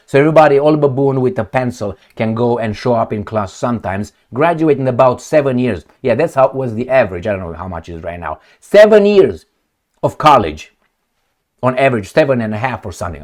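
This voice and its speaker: Middle-aged male, average pitch. Solo commentator, emphatic and loose, wandering into asides. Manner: rambling, emphatic, with trailing asides. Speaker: video commentator. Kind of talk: off-the-cuff political commentary to camera. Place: small home office, indoors.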